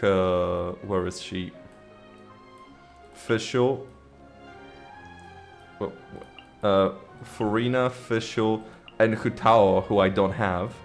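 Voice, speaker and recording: A game streamer giving casual, teasing playthrough commentary in informal slang, with game music behind. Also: sits low.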